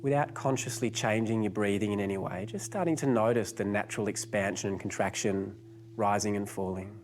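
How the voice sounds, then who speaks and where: A man narrating a guided meditation as the guide, giving gentle, unhurried instructions with pauses. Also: lowish voice, a little hiss or hum.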